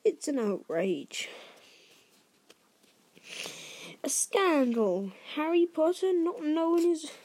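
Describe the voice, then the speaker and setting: A woman voicing a gruff, kindly giant man from a storybook, speaking in halting, rustic turns of phrase. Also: a little high, dark tone. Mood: outraged.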